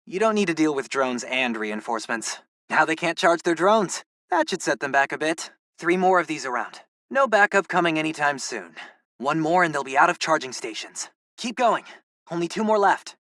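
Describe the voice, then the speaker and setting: A man's voice: a little high, animated, normal speed, pushed and bright, no room sound, studio quality. A young American man voicing an eager, geeky teenage boy, a loyal tech sidekick in a video game, informal and earnest. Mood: casual, focused.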